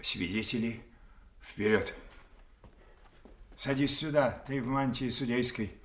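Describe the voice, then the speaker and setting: Give me a man acting a slow, echoing mad old king. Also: medium pitch, natural voice. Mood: solemn, deranged. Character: mad old king, grieving, betrayed, imperious. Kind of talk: theatrical audio drama acting.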